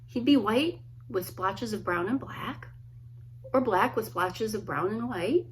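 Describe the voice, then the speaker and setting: A woman, a children's author, reading a story aloud expressively, with dramatic pauses and hushed emphasis. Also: mid-range voice, a little hiss or hum, rough recording. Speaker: children's book author reading own work. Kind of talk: expressive storybook read-aloud, friendly introduction.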